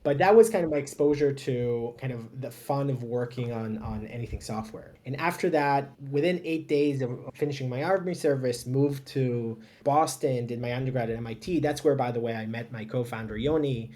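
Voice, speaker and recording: A man's voice: mid-range voice, normal speed, hardly any pauses, mellow. A man, a startup founder chatting casually and self-deprecatingly as a podcast guest. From Israel. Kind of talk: casual interview conversation.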